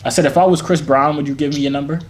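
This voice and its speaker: A man's voice: on the high side, fairly even intonation, rapid-fire, crowd noise. Teasing young man on a phone video chat.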